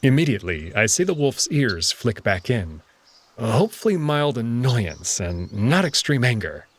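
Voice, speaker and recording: A man's voice: mid-range voice, big pitch swings, a little slow. A man narrating a first-person story in a dramatic reading style.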